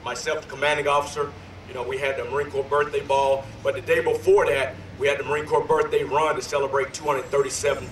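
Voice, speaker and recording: A slightly hoarse man in his forties, a sergeant major, talking loosely and energetically with many fillers at an outdoor ceremony. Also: medium pitch, flat delivery, fast talker, slight background noise. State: a little hoarse. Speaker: sergeant major giving a history talk. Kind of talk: ceremonial speech. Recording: distant echoey PA outdoors.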